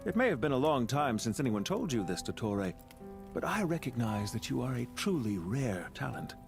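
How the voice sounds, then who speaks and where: An actor playing a proud, composed, calculating magnate in a video game, with a dignified, deliberate and formal delivery. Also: male voice, medium pitch, sing-song, creaky. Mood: calm farewell. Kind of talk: scripted video game voice acting. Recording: music under the voice.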